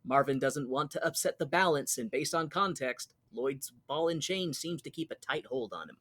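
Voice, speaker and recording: A man's voice: a little high, moderate pace, a bit bright, no echo. An American man hosting a podcast, telling a story in a playful, jokey, casual way. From Mountain West United States. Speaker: podcast host. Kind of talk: recapping a musical, casual joking chat.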